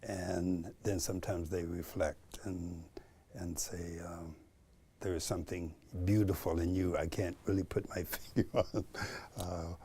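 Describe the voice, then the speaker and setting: A man in his seventies being interviewed for a documentary, thoughtful and halting with fillers. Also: low-pitched, steady tone, mellow, slight background noise. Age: 70s.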